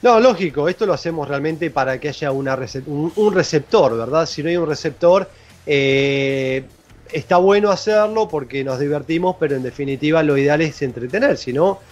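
A man, hosting a live show from a home studio and talking in a halting way with many restarts. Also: on the high side, animated. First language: Spanish. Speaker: interviewer and show host. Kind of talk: casual chat on a live show. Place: home studio with records on shelves. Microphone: studio mic on boom arm, video call. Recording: dull lo-fi video call audio.

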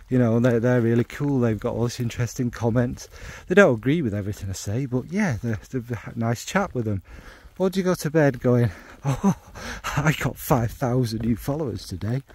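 A man's voice: mid-range voice, a little mellow, slightly rough. Man, casual rambling chat, wry, self-questioning, outdoors walking in rain. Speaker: fragrance video maker. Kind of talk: rambling walk-and-talk chat. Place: outdoors on a dog walk in the rain.

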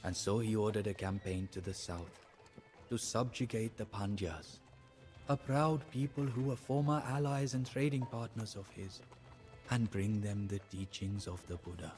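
An actor playing a sorrowful, devout adviser to an Indian emperor, narrating the story in a solemn, measured, theatrical way for a game. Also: male voice, fairly low pitch, darkish tone, faint music behind, close to an everyday voice. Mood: grave, foreboding. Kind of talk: dramatic scripted storytelling narration.

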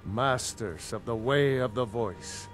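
An actor playing a stately, solemn Nordic ruler in a fantasy game, speaking in a measured, formal, authoritative way. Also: male voice, mid-range voice, soft background music, natural voice. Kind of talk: fantasy video game dialogue.

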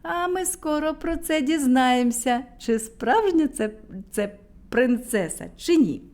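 A woman's voice: sits high, lively intonation, measured pace, darkish tone. A woman voicing a shrewd, knowing old queen mother in a storybook tale. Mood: sly.

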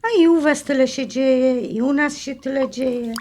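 A woman playing a sharp-tongued, ironic newlywed wife in an audio drama, defensive and teasing. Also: high-pitched, normal speed, a little hiss or hum, lightly acted. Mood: teasing, affectionate. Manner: expressive, ironic, needling. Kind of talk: Polish audio drama acting.

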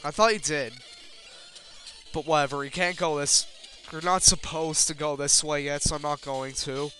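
A man's voice: on the high side, steady tone, moderate pace, bright, forceful tone. A man giving casual, rambling video game commentary in a self-deprecating way, with casual swearing. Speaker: let's player. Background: video game music.

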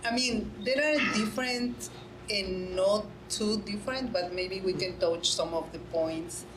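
A woman's voice: medium pitch, a little slow, reverberant. A woman panelist answers at a table mic in a conference room. She talks earnestly and drives points home by repeating them. The room rings a little, with a faint hum behind her. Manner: earnest, repeats phrases for emphasis, many restarts. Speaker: panelist, nonprofit director.

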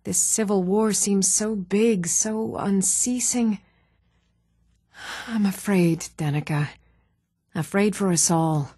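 Measured fantasy townswoman, dry close sound. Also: mid-range voice, warm tone, slightly rough, dry recording. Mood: troubled, weary. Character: even-toned adult townswoman, shopkeeper in a fantasy world. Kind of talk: scripted video game voice acting.

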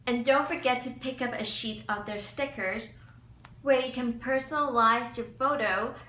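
A woman's voice: fairly high pitch, moderate pace, bright, forceful tone, distant mic. Cheerful young woman vlogger, echoey room. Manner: cheerful, enthusiastic presenter. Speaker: beauty vlogger. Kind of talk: upbeat scripted vlog narration. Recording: echoey room, mic set back, faint hum.

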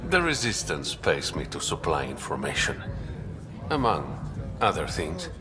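An actor playing a suave, roguish young smuggler in a video game, smooth, sly and teasing. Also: male voice, rumbling low, wildly swooping pitch, measured pace, over music, exaggerated voice. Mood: sly, measured. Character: suave, roguish adult male smuggler and informant. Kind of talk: video game dialogue acting.

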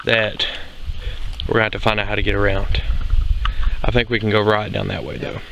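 Young male outdoor host, low-ish, relaxed walking narration, outdoor camera with rustling noise. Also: fairly quick, creaky, low-quality recording. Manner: relaxed, explains as he walks. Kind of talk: casual hiking narration. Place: outdoors in a forest.

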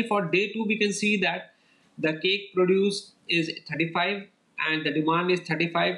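A man's voice: on the high side, poor audio. Man explaining slides step by step. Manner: steady, repetitive step-by-step explaining. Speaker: lecturer. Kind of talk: slide-based lecture walkthrough. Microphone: voice-over over slides.

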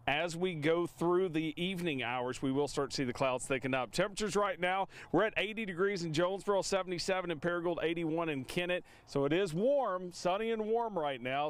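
Man giving a smooth TV weather update. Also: fairly high pitch, a little fast. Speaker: TV meteorologist. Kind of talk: weather forecast.

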